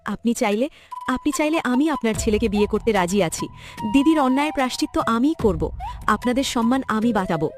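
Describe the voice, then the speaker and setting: A woman's voice: on the high side, lively intonation, quick pace, darkish tone, natural voice. A woman voicing a deceitful young village girl in a cartoon, pleading and melodramatic. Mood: falsely earnest. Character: deceitful, scheming young village bride-usurper. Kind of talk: cartoon voice acting. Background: background music.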